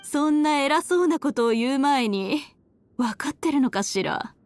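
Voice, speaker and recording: A woman playing a haughty, cruel, elegant villainess in a Japanese anime game, with a condescending, mocking, drawn-out aristocratic delivery. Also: high voice, expressive. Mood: contemptuous, threatening. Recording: studio voice over game music.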